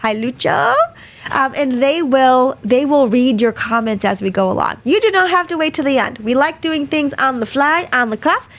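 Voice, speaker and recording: A woman with a high voice hosts a live webinar from a small studio. She sounds a little hoarse from a cold. She talks in a chatty way and greets attendees one after another. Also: animated, moderate pace. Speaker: webinar host. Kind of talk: casual live webinar hosting. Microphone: studio mic.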